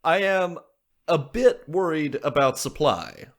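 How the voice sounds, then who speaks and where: A man's voice: a little high, slow pace. An actor playing an affable, chatty man who works in health science, with an informal, chuckling manner. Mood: chatty, slightly uneasy. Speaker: actor in an audio drama. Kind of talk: naturalistic audio drama acting.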